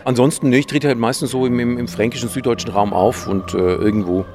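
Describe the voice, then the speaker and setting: Fast-talking, self-deprecating man. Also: medium pitch, steady tone, over music. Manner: hesitant, self-deprecating, many fillers. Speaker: teacher and poetry slammer. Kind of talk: casual interview answers.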